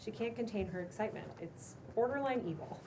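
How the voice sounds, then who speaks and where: A woman's voice: on the high side, lively intonation, fast, recorded from a distance. A woman reading scripted stage directions aloud as narrator at a table read. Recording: noisy room recording.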